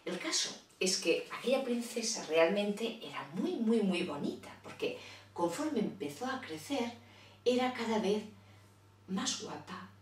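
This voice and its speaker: A woman's voice: medium pitch, echoey room, recorded from a distance. A woman telling a children's fairy tale in a playful, chatty way, with asides to her listeners. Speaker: storyteller. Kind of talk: children's storytelling.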